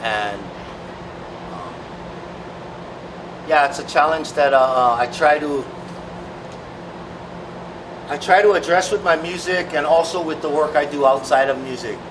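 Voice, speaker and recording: A middle-aged man from Hawaii, a songwriter talking thoughtfully in an interview, pausing often with fillers. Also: on the high side. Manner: thoughtful, halting, many fillers. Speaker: songwriter and musician. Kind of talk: reflective interview talk. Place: large open-sided shed outdoors. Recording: distant mic, clear background noise.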